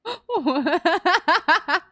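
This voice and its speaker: A woman's voice: extremely high pitch, expressive, extremely slow, dry recording, clean studio sound. A woman live streaming a game, chatting casually and playfully with cutesy slang. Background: soft background music.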